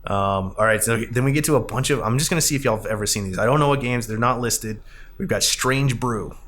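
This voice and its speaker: A man's voice: medium pitch, fast, a bit bright, a little hiss or hum. A man hosting a podcast in a casual, conversational style. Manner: chuckles often while talking. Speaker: podcast host, arcade company staff.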